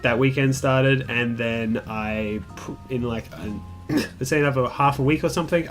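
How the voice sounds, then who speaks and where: Candid young man rambling over calm guitar music. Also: mid-range voice. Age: young adult. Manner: rambling, candid, mildly profane, self-deprecating. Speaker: gaming video creator telling a personal story. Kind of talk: casual storytime chat over gameplay. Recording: music behind the voice.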